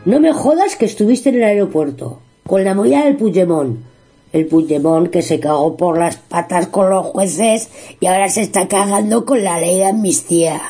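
Brash young friend from Spain, female, acted by a woman: dark, slightly raspy, sarcastic, sweary radio drama delivery. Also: mid-range voice, moderate pace, soft background music. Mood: sarcastic, ranting. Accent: Spanish, from Spain.

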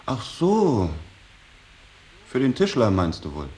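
A man's voice: medium pitch, wildly swooping pitch, slow, mellow. An actor playing an evasive, sullen young man answering his father in a radio drama, with an offhand manner. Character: evasive, sullen grown son of a stern painter. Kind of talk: 1980s German radio drama acting. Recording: hissy radio drama recording.

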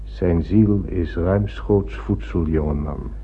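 An actor playing a seasoned, philosophical spaceship officer in a radio play, with a husky voice and a measured, sardonic manner. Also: male voice, low voice, slight background noise. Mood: wry, musing. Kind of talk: radio drama acting. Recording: muffled old radio play.

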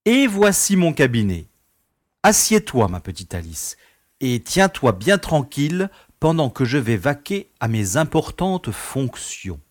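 An actor playing an easygoing, self-important prison director in an audio comedy, speaking in a courteous, formal, paternal way. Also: male voice, medium pitch, sing-song, unhurried, dry recording, studio quality. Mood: genial, self-satisfied. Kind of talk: French radio comedy acting.

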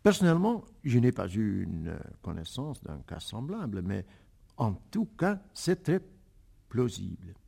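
A man from the Netherlands, answering as an expert doctor in a careful, halting, emphatic way. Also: fairly low pitch, very animated, creaky, warm tone, husky.

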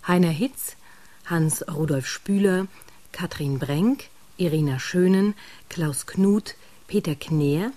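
Female radio announcer, low and mellow, formal delivery. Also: dry recording. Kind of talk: reading credits and announcements.

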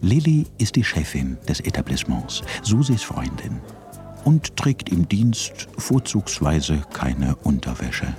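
A man's voice: very deep voice, big pitch swings, measured pace, a little mellow, faint music behind. A man narrating a radio drama in a dry, ironic way.

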